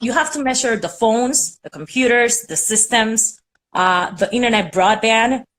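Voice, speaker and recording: A woman's voice: fairly high pitch, a little slow, a bit bright, low-quality recording. A young woman from Puerto Rico with a Puerto Rican accent, Spanish her first language, chatting on a video call and rambling with many fillers. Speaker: caller on a live stream. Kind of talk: casual chat.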